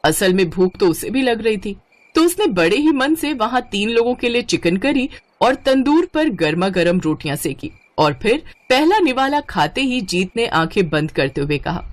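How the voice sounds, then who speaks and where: Woman, story narrator, scripted delivery, mid-range pitch, animated intonation. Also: normal speed.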